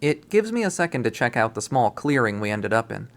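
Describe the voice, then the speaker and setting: A man reading a visual novel aloud as first-person narration, in a casual, expressive style. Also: medium pitch, fairly quick. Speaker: visual novel readthrough host.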